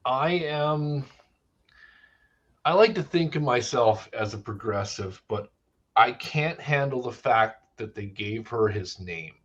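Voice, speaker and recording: A man's voice: medium pitch, animated, slow pace. A man in a casual panel debate, speaking bluntly and emphatically with some swearing. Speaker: discussion panelist. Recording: slightly dull lo-fi audio.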